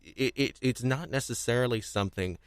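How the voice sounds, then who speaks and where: A man hosting a casual panel discussion, speaking haltingly and often repeating himself as he restarts phrases. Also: mid-range voice, clear, resonant tone, no room sound, studio quality. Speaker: podcast host.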